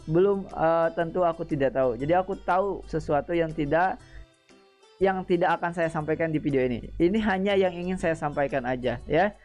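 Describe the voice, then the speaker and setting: A man hosting a gaming channel, talking casually to his viewers, repeating himself and often pausing with hesitant fillers. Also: a little high, darkish tone. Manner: chatty, repetitive, frequent hesitant fillers. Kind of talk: casual explainer chat to viewers.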